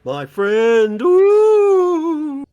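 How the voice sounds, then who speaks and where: A man's voice: very high voice, big pitch swings, drawn-out words, warm tone, no room sound, dull audio, full character voice. A man voicing a theatrical otherworldly messenger who proclaims melodramatically and demands vengeance in a comic dating game. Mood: grandiose, urgent.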